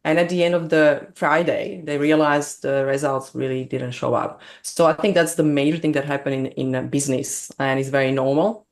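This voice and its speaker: A woman from Slovakia with a Slovak accent, speaking English as a second language as a guest on an interview, bubbly and quick to laugh. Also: low-pitched, fairly even intonation. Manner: bubbly, laughs often, non-native grammar. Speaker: business coach, guest. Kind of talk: casual interview chat.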